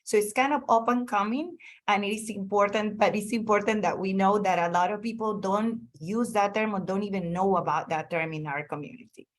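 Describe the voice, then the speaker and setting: A woman's voice: medium pitch, fairly quick, hardly any pauses. A woman on a webinar panel speaking earnestly and with emphasis about her community.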